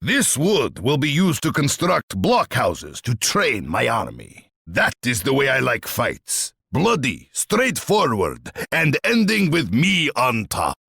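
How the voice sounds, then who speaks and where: Casting: bloodthirsty warlord tsar for a strategy game; husky, pompous and sneering, with wildly swinging pitch. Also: male voice, wildly swooping pitch, slow pace, hardly any pauses, sharp tone, no echo, clean studio sound, exaggerated voice. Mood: menacing, boastful. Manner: pompous, menacing, declamatory.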